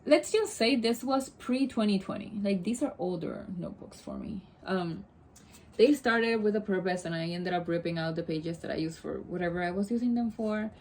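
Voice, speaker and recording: A woman's voice: medium pitch, moderate pace, darkish tone, slight background noise. A young woman from Puerto Rico, a native Spanish speaker, chatting casually to camera in a rambling way with many asides and self-corrections. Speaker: vlogger.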